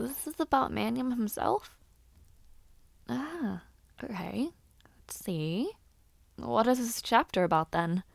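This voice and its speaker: A lively young noblewoman who hopes to be a knight, acted by a woman for a fantasy audio roleplay. She talks in a mid-pitched voice, teasing and gossipy, with little hums and hesitations. The sound is dry and intimate. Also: gravelly, no room sound. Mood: curious.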